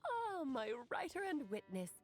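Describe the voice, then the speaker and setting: A woman's voice: high voice, huge pitch swings, a little slow, soft background music, exaggerated voice. An actress playing a cheerful, theatrical witch in a video game, speaking in a playful, grandiose, teasing manner. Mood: playful, amused. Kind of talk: scripted game dialogue.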